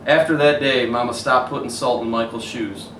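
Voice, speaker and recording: A man reading a children's picture book aloud as a coach would, pausing to point out the pictures. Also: mid-range voice, fairly even intonation, a bit bright. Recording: roomy, distant mic, faint hiss.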